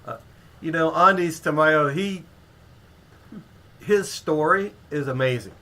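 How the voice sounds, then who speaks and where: A man's voice: fairly high pitch, slow, bright, forceful tone. A man co-hosting a livestream, chatting casually, rambling and joking as he introduces a guest. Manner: rambling, joking, self-correcting. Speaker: co-host, coach. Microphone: headphones.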